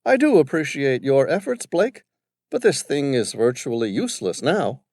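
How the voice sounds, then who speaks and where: A haughty, moneyed old businessman who sneers at anything that does not pay, put on by a male narrator. The voice sits high and swoops with scorn. It is recorded close and dry. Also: big pitch swings, normal speed, darkish tone, no room sound. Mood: pompous. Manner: pompous, condescending. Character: arrogant wealthy older businessman father.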